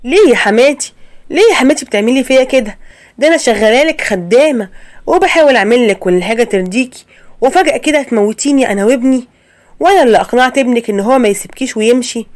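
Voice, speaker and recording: A woman with an Egyptian accent voicing a gentle, eager young wife, raising her voice higher. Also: animated, very fast, close to an everyday voice. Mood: hurt. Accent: Egyptian Arabic. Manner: eager, higher-pitched, anxious.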